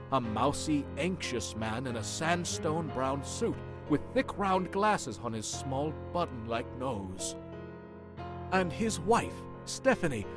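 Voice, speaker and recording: A man playing a spooky narrator, telling a scripted horror tale with theatrical, ominous phrasing. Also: mid-range voice. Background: music.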